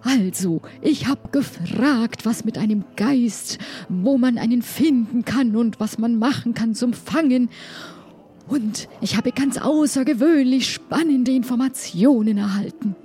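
A woman playing a chatty, excitable crewmate in a fantasy role-playing game, with quaint wording and some searching for words. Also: fairly high pitch, a little slow, close to an everyday voice. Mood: excited.

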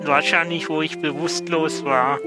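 A man's voice: on the high side, fairly even intonation, a little slow. A man speaking casually as a guest player on an audio play show. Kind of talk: casual chat. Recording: music behind the voice.

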